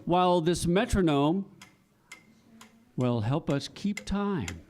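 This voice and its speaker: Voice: mid-range voice, very animated, takes it slowly, a little mellow, faint music behind. A presenter giving a scripted live talk for children as part of a sound effects crew. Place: live library event before an audience.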